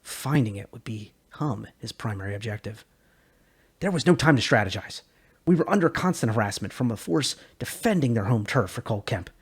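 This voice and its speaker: Man with a faintly gravelly voice presenting game lore. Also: medium pitch, animated, brisk. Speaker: host reading game lore and giving analysis. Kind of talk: dramatic read of game lore, then casual analysis.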